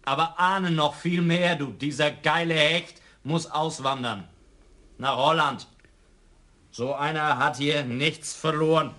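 An actor playing a drunk, remorseful police lieutenant who speaks slowly and slurs. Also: male voice, a little high, steady tone, bright, forceful tone. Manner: slurred, slow, self-reproaching.

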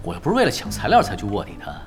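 Chill cocky pro gamer guy, teasing, lively mid voice, music behind. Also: lowish voice, racing. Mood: nonchalant. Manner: nonchalant, teasing. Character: laid-back, confident, teasing male veteran gamer. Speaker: voice actor in a radio drama. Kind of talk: naturalistic audio drama dialogue.

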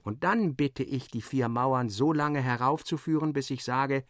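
A man's voice: mid-range voice, measured pace, no echo, clean studio sound, voice pushed up, close to an everyday voice. A man voicing an eccentric, wilful man who gives emphatic, exclamatory orders to his builders in a literary story reading. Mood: firm, commanding. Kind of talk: literary audiobook character voice.

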